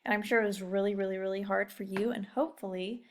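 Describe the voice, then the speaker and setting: A woman's voice: mid-range voice, fairly even intonation, fast talker, slight background noise. Encouraging woman teacher talking to young pupils. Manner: encouraging, addresses children directly with questions. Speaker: elementary school teacher. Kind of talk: casual read-aloud lesson talk to young pupils.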